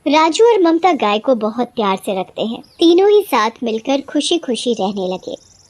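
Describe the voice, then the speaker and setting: A woman's voice: a little high, very animated, moderate pace, a little mellow. A woman storyteller reading a scripted children's story as narrator.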